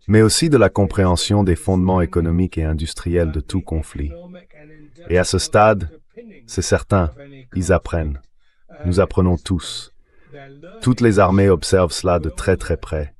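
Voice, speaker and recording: Deep-voiced male analyst, measured. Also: a little mellow. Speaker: interview guest, analyst. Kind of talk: geopolitical commentary, AI-read French translation.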